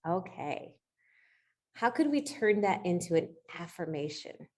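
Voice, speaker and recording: A woman's voice: on the low side, very animated, measured pace, a little mellow. A middle-aged woman coaching in an informal, conversational way, encouraging and often checking in with short rhetorical questions. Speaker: real estate coach leading a coaching session.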